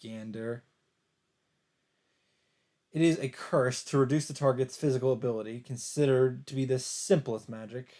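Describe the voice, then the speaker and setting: A man narrating a visual novel aloud as a let's player, in a measured, casual read-aloud delivery. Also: mid-range voice, steady tone, mellow.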